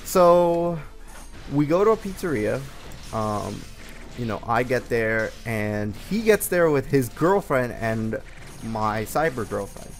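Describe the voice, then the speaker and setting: Young male storyteller, mid-pitched voice, informal and hesitant, rambling delivery over faint music. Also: sing-song, takes it slowly. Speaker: storyteller, host of a personal story series. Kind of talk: casual, rambling personal storytelling. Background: background music from a game.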